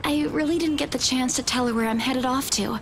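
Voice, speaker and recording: A woman playing a worried, guarded young woman who is a loyal, gentle friend in a visual novel game. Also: high-pitched, a little fast, a little hiss or hum.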